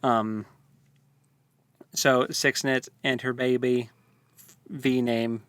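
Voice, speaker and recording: A man in casual conversation, talking in a flat, even tone with little rise and fall. He stumbles and restarts often as he gathers his thoughts. His voice sounds close and dry, with no room around it. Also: mid-range voice, drawn-out words, clean tone, dry recording. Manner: hesitant, many fillers and restarts. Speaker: podcast co-host. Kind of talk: casual book discussion.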